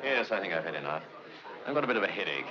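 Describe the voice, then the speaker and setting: An actor playing a suave secret lover in a 1950s film, smooth-talking and drily sardonic. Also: male voice, deep voice, big pitch swings, rapid-fire, a crowd in the background. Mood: dry, nonchalant. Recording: muffled old film soundtrack, music behind.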